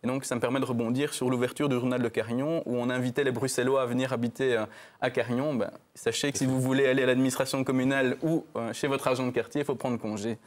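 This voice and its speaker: A man's voice: mid-range voice, steady tone, fast talker. A local election candidate in a televised debate who speaks earnestly and often restarts his phrases. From Italy. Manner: earnest, hesitant with repeated restarts.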